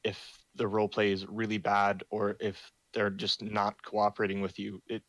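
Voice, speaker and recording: Young man leads the community meeting, low and monotone, reading the rules plainly with frequent fillers. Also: normal speed, no room sound. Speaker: meeting host for a roleplay community.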